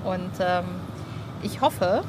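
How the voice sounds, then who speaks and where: A woman's voice: on the low side, expressive, drawn-out words, noisy background. A woman in her forties talking casually and effusively as a podcast host, in an informal, colloquial way. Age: 40s. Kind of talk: casual chatty podcast talk.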